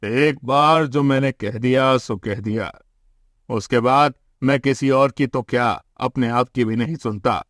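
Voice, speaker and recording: A man's voice: medium pitch, unhurried, fairly bright tone, no echo, studio quality, close to an everyday voice. A man voicing a commanding, pompous rich land-grabber who bullies the poor in a cartoon moral story. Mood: bullying, boastful. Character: gruff, bullying male land-grabbing rich villain.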